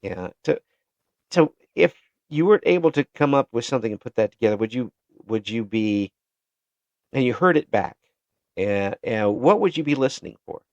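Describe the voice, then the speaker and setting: A male interview host who stumbles through his questions, restarting and doubling up his words as he goes. His pitch rises and falls in a lively way. The sound is dull and lo-fi but dry, with no room echo. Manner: halting, restarts and repeats words often. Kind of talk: casual interview chat. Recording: dull lo-fi recording.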